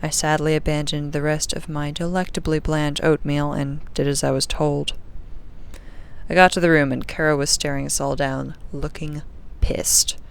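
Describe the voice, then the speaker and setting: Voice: medium pitch, level tone, moderate pace, vocal fry, smooth, lightly acted. An actor playing a sardonic, uneasy young crew member recording an audio diary in a casual, rambling, sarcastic way. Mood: wry, grumbling. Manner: wry, sarcastic, informal, rambling. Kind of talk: audio drama diary monologue, casual and conversational.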